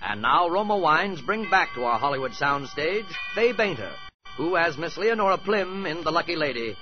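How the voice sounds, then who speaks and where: A man's voice: fairly high pitch, sing-song, moderate pace. A man formally introducing a 1940s radio suspense drama in a grave, theatrical announcer's style. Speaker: program announcer. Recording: muffled old radio, music behind.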